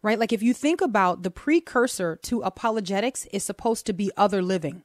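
A woman's voice: medium pitch, brisk, dry recording. Playful woman radio host in a dry studio. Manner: animated, playful, joking banter.

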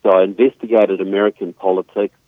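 A man's voice: low voice, flat delivery, fast talker, no room sound. A man with a New Zealand accent, a guest author explaining his background steadily over a phone line, with some fillers. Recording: tinny phone line, dry.

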